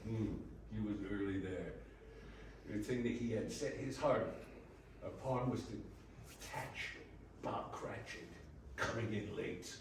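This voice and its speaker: Echoey, theatrical male narrator. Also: mid-range voice. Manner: theatrical, emphatic dramatic pauses. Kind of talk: scripted storybook narration, readers theater. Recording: very echoey room, distant mic, faint noise.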